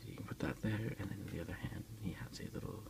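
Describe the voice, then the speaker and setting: A man softly whispering in a breathy voice as he casually hosts an ASMR unboxing, unhurried and a little hesitant, recorded on a phone. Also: low-pitched, low-quality recording. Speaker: ASMR video host.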